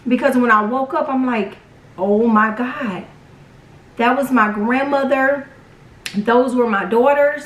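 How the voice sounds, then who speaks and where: A young woman with a high voice tells a personal story in a casual, dramatic way. She acts out quotes as she goes. The sound is roomy and distant from the mic. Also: takes it slowly, pauses often. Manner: animated, dramatic retelling with acted quotes. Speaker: vlog storyteller.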